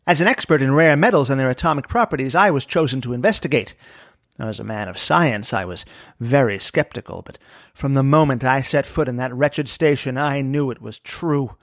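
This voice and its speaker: A man's voice: mid-range voice, fairly quick, no echo, studio quality, close to an everyday voice. An actor playing a remorseful, haunted man of science with a grave, melodramatic manner in an old-time radio detective drama. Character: haunted, remorseful male scientist who faked his death.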